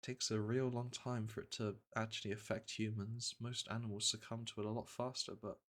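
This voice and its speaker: A man playing a gentle veteran monster hunter in a fantasy ASMR roleplay, speaking softly and reassuringly, with a hesitant, matter-of-fact manner. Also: lowish voice, level tone, brisk, natural voice. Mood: explaining, sober. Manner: soft, hesitant, soothing delivery.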